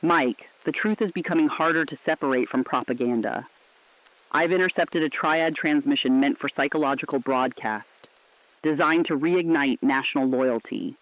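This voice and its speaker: A man's voice: a little high, moderate pace, close to an everyday voice. A man playing a defiant, sombre resistance radio correspondent, speaking earnestly and poetically in a scripted drama. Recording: muffled, lo-fi radio-drama sound.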